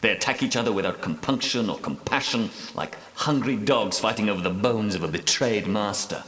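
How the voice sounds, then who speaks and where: An actor playing the solemn, pious leader of ancient Grail knights in a video game, speaking in a grave, portentous, formal manner. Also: male voice, on the low side, fairly bright tone, lightly acted. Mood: brooding. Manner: grave, portentous, measured, preaching. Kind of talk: scripted video game dialogue, archaic formal speech. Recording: echoey hall with long reverb.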